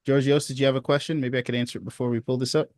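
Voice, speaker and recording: A man's voice: medium pitch, racing, no echo. Chill sales coach guy, says dude a lot, dry close sound. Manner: relaxed, encouraging, says dude and man. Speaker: sales coach hosting a support call. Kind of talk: casual coaching feedback.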